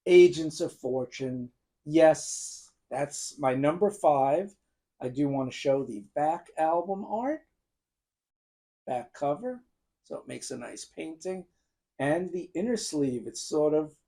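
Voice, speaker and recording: A man in his fifties with a gruff, worn voice, enthusiastically ranking albums and listing songs to the camera. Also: on the high side, darkish tone. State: gruff, strained voice. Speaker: music video host ranking albums. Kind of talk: casual talk to camera.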